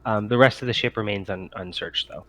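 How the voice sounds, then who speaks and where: A man running a tabletop game as game master, improvising descriptions in a halting way with many pauses. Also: lowish voice, fairly bright tone, low-quality recording.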